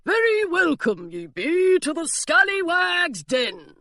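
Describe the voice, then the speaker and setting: A woman voicing a burly, bored male pirate guarding a door, drawling in slow, old-fashioned pirate talk. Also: extremely high pitch, big pitch swings, sharp tone, dry recording, pitched above the performer's own voice, full character voice. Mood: gruff.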